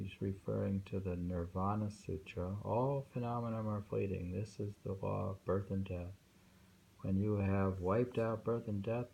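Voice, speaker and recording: A man reading poems aloud informally and commenting on them in a halting, musing way. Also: low-pitched, vocal fry, dark tone, a little hiss or hum, rough recording. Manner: halting, musing, with pauses and self-questioning. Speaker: reader and host discussing poems.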